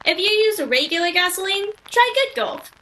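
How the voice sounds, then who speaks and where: A woman's voice: extremely high pitch, expressive, moderate pace, pushed and bright, a little hiss or hum, full character voice. A woman playing an eager, gee-whiz young male announcer in 1940s radio style. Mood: peppy, selling. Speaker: sponsor announcer. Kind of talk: 1940s radio acting and sales pitch.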